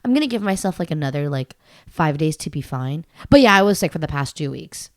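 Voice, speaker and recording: A young woman in her twenties co-hosting a podcast, a bit congested, rambling in a relaxed way. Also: low-pitched, a little fast, dry recording, studio quality. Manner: relaxed, rambling, thinks aloud. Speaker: podcast co-host. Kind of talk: casual chat.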